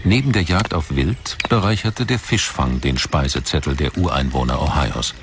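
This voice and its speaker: A man narrating a scripted documentary in a measured, articulate manner. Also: extremely low pitch, background noise.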